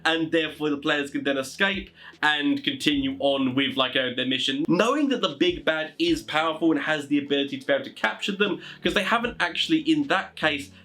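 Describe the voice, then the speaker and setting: A man with a somewhat high voice presents tabletop gaming advice. He talks informally and conversationally, as an explainer. His sentences ramble along and circle back to the same stock phrases. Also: brisk, bright, forceful tone.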